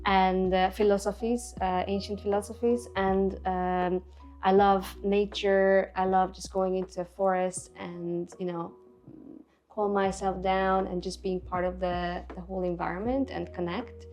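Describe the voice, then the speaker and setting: A young adult woman from Hungary, speaking English as an in a relaxed interview, soft-spoken and reflective, pausing and restarting often. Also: mid-range voice, soft background music. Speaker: artist guest. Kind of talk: casual interview. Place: Budapest.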